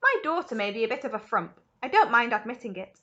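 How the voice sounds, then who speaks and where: A woman's voice: a little high, big pitch swings, fast talker, pushed and bright, highs cut off. A woman doing a pompous rich father.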